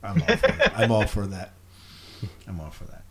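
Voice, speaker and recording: A man with a fairly low voice hosts a podcast. He speaks in casual, conversational interview style. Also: wildly swooping pitch, normal speed, slight background noise. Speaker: podcast host.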